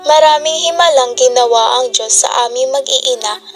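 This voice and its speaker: A woman's voice: sits high, normal speed, natural voice. A teenage Filipino girl playing a devoted, poor young wife and mother in a student radio drama. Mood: earnest, devout. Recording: music behind the voice.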